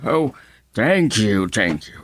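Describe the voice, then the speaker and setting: A man's voice: on the low side, sing-song, slow, exaggerated voice. A man playing a polite, wistful old returnee in a radio drama, with an Ilocano accent and a hesitant, repeating delivery mixed with English.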